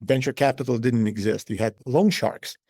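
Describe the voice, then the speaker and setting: A man, a company founder giving measured, matter-of-fact answers as an interview guest. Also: medium pitch, dark tone, breathy edge, no echo, clean studio sound. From Lithuania.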